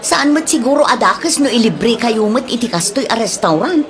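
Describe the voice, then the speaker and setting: Stingy, high-voiced Ilocano daughter-in-law played by a woman. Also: lively intonation, normal speed, natural voice. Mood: defensive. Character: stingy, complaining daughter-in-law who protests indignantly. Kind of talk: Ilocano comedy drama acting.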